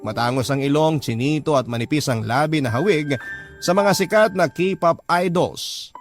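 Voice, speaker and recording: A man's voice: a little high, moderate pace. A man with a Filipino accent reading a scripted first-person story as a radio drama narrator. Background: music bed.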